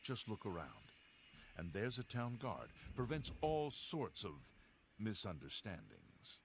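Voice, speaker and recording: Curt fantasy town guard, lively. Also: male voice, lowish voice, a little slow, creaky, a little hiss or hum, heavily acted. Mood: curt, official. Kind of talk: video game dialogue acting.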